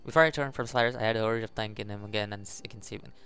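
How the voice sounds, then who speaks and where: A man hosting a gaming video, reading a visual novel aloud in a halting way, with casual commentary. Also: on the low side, creaky, fairly bright tone, smooth, faint music behind. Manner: halting, stumbles over the text.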